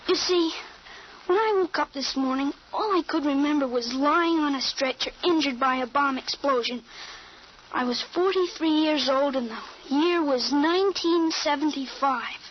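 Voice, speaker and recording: A woman's voice: high voice, unhurried. A woman playing a precise thirteen-year-old American boy with a grown-up mind in an old radio drama, speaking in an articulate, matter-of-fact way. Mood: earnest, matter-of-fact. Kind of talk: 1940s-50s radio drama acting. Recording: muffled, noisy old radio recording.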